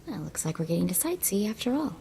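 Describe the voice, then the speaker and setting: A woman's voice: mid-range voice, very animated, quick pace, creaky, noisy background. A woman playing a friendly, wide-eyed adventuring sidekick in a video game, with a casual manner. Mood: cheerful. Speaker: companion character in a video game. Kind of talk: scripted game dialogue. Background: music.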